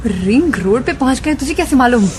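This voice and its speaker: Lively, high-voiced, curious young woman. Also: brisk, faint music behind. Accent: Indian. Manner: inquisitive, exclaiming, casual, mixes English into speech. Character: curious, impressed young woman, friend and job seeker. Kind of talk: radio drama dialogue.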